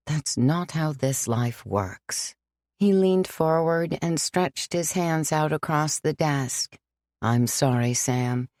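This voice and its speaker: A woman voicing Father John, an older priest who speaks slowly and gravely to a young woman in his care. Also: fairly high pitch, darkish tone, no echo, studio quality.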